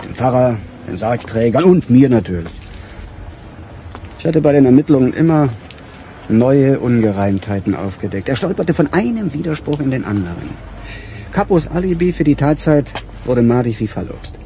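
An actor playing a weary middle-aged police chief in a radio crime drama, with a dry, musing, sardonic manner. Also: male voice, mid-range voice, very animated, moderate pace, mellow, a little hiss or hum. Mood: wry.

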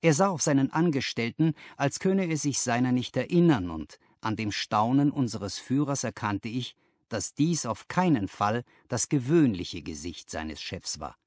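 Expressive male audiobook narrator, animated pitch. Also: normal speed, no room sound, studio quality. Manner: expressive storytelling, careful phrasing. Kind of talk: scripted dramatic literary narration.

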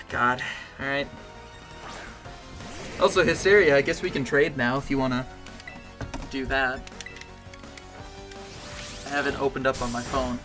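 A man with a bass voice streaming a game, chatting casually and getting excited or pleading with the game as he plays. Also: unhurried, long pauses, music in the background. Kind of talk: casual gameplay commentary. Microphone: desk mic with webcam.

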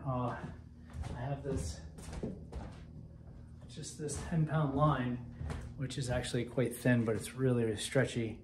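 A man's voice: mid-range voice, steady tone, measured pace, long pauses. A man in his thirties or forties casually and methodically explaining a DIY project to camera inside a large timber barn. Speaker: DIY woodworker presenting a project video. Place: large empty timber barn loft, indoors. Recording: roomy echo, faint background noise and clicks.

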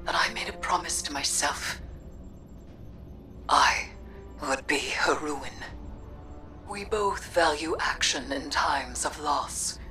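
Video game occult avenger: theatrical, deliberate, slow, low register, grave and defiant. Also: female voice, sharp tone, heavily acted. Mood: pleading, resolute. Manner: solemn, formal, deliberate. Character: brooding, vengeful female occult sorceress, solemn and defiant. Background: music.

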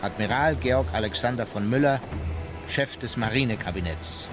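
A man plays a dutiful German admiral reading his memoirs in a documentary radio drama. His medium-pitched voice is sober, formal and measured, with clear music behind. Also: lightly acted. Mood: sober, factual.

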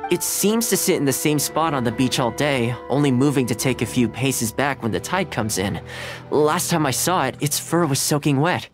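A man's voice: medium pitch, expressive, normal speed, natural voice. An actor playing a friendly, caring, patient young man in a video game, with a casual, coaxing manner. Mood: concerned, hopeful. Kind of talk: video game character dialogue. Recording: music under the voice.

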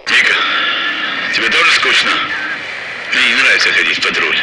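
A man playing a brisk Russian patrol soldier in a military video game. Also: medium pitch, very animated, bright, forceful tone, slightly rough, exaggerated voice, rough recording. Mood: bored banter.

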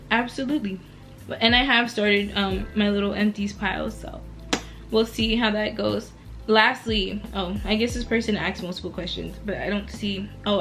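A young woman in her twenties talks casually to her viewers from her bed, answering questions in a relaxed, chatty way with frequent laughs. Her voice sits at an ordinary middle pitch. Soft music plays faintly behind her. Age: 20s. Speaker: beauty and lifestyle YouTuber. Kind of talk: casual Q&A vlog. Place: lying back on a bed at home, indoors.

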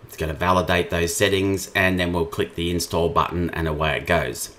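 A man with a low-pitched voice presents a software tutorial. He explains each step informally, as a trainer talking through a screen share. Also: fairly even intonation, fairly quick, a little hiss or hum.

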